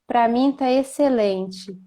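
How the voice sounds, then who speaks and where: A woman with a Minas Gerais accent hosting a live video call, chatting and then leading a slow, gentle prayer. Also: fairly high pitch. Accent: Minas Gerais Portuguese. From Minas Gerais, Brazil. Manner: slow, gentle and devout when praying. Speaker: host.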